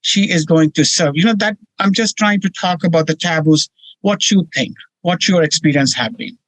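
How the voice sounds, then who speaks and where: A man hosting an interview, asking questions in a rapid, loose manner, saying "you know" often. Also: on the high side, a bit bright, dry recording, low-quality recording. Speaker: interview host.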